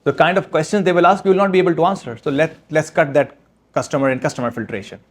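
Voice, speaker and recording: A man's voice: a little high, fairly even intonation. Man explaining sales to an audience. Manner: halting, restarts often, explains step by step. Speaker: author and sales speaker. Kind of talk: answering audience questions at a book launch. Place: event room with audience.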